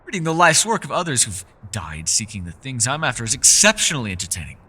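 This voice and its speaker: A man's voice: mid-range voice, wildly swooping pitch, fast talker, background noise. Guy doing a posh-worded playful elf scholar for fantasy asmr, super swoopy voice, rain and thunder going behind him. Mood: indignant. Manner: theatrical, genteel, old-fashioned formal phrasing. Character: mysterious, courteous, playful male elf scholar living alone. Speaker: voice actor. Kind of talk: ASMR fantasy roleplay addressed to the listener. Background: rain and thunder sound effects.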